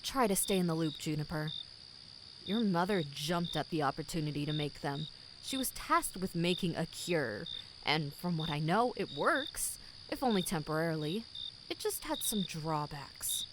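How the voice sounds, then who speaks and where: A woman's voice: low-pitched, expressive, normal speed, background noise. A woman playing a cold, condescending company boss in an audio drama, formal, smug and menacing. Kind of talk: scripted audio drama acting.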